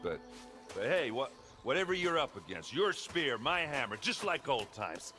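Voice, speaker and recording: A man acting a gruff, wisecracking battered warrior in a video game cutscene, loyal but sardonic and halting. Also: mid-range voice, big pitch swings, over music, lightly acted. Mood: hopeful, awkward.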